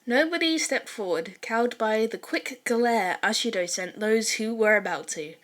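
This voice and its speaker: High-voiced woman narrating a story. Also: a little slow. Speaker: fan fiction narrator.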